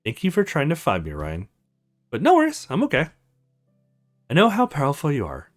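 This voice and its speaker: A man voicing a kind, self-effacing young witch woman in a visual novel game, softly reassuring. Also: on the high side, wildly swooping pitch, fairly quick, faint music behind.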